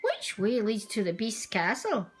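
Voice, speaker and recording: A woman's voice: medium pitch, huge pitch swings, a little slow, soft background music. Woman voicing a goofy, eager cartoon dog fella. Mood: cheerful, surprised. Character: good-natured, clumsy, eager male cartoon dog adventurer.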